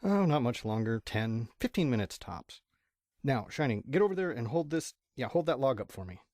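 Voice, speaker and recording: A man's voice: medium pitch, very animated, normal speed, a little mellow, no room sound, clean studio sound. A man playing a middle-aged father pony in a fan audio drama, a proud, pedantic perfectionist who speaks earnestly. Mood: busy, fussy.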